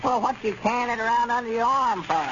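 An actor playing a skeptical, needling old country storekeeper with a rural Southern accent in a 1930s radio comedy. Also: male voice, very high voice, quick pace. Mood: folksy, questioning. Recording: scratchy, muffled 1930s radio recording.

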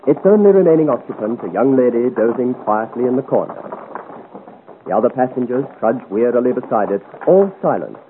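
A man's voice: mid-range voice, phone-quality sound, natural voice. A man narrating a scripted 1950s radio adventure serial. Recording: scratchy 1950 radio recording, thin band.

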